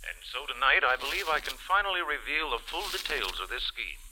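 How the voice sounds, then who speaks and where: A man's voice: mid-range voice, big pitch swings, a little fast. A man acting a theatrical speaker revealing secrets in grand 1940s radio delivery, played back from a wire recorder. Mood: portentous. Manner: theatrical, portentous. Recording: noisy wire recording playback.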